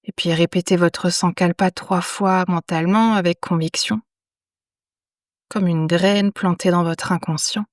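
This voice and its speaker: A woman guiding a yoga nidra meditation in a soothing, unhurried manner, leaving long pauses between phrases. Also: fairly low pitch, fairly even intonation, dry recording, clean studio sound. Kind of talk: guided meditation.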